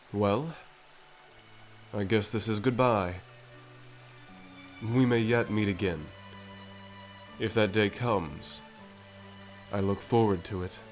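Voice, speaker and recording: Low-voiced, mysterious, composed visitor acted by a man. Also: soft background music. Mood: calm, cryptic. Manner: deliberate, formal, cryptic. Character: mysterious, composed male visitor with uncanny powers. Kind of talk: scripted audio roleplay acting.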